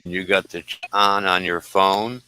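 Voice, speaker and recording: A man's voice: sits low, level tone, a little slow, sharp tone, smooth, no echo, rough recording. A man chatting casually as a participant in a live stream. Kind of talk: casual chat.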